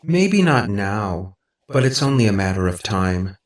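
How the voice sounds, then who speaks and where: A man's voice: fairly low pitch, very animated, unhurried, reverberant, recorded from a distance. A man voicing a robot girl in an audiobook, sweet and affectionate on the surface, clingy and manipulative, with precise, insistent phrasing. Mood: pleading, clingy. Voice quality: synthetic, affectionate tone.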